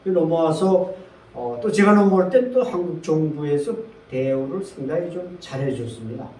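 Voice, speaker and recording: A man's voice: fairly high pitch, moderate pace, warm tone, recorded from a distance. Emphatic man in his sixties, roomy echo. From North Korea. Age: 60s. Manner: earnest, emphatic, with frequent fillers. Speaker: guest speaker. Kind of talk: lecture to a seated audience. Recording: echoey room, speaker off-mic with faint noise.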